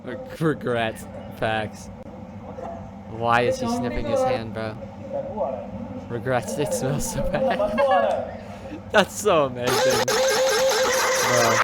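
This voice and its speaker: A man's voice: mid-range voice, big pitch swings, slow pace, long pauses. A man giving casual, slangy reaction commentary in short amazed interjections, as host of a reaction video. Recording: loud noise behind the voice.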